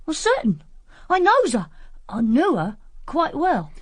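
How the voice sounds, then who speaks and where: A woman's voice: sits high, wildly swooping pitch, a little slow, no room sound, heavily acted. A woman voicing a cheeky, matter-of-fact country schoolboy with a rural English accent in a radio drama. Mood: earnest.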